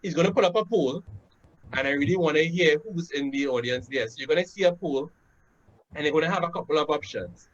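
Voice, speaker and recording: A man's voice: on the high side, a little fast, a little hiss or hum. A man in his thirties with a Trinidadian accent, hosting a webinar from a room at home in a casual, conversational way, often tagging his points with "right?". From Trinidad and Tobago. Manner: conversational, frequent "right?" tags and "um" fillers. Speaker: webinar host. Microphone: laptop webcam on a video call. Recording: dull lo-fi video call audio.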